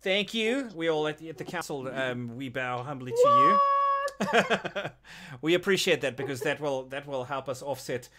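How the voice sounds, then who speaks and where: A man casually hosting a gaming panel, with a halting, jokey way of talking. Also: a little high, animated, heavy background noise. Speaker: podcast host.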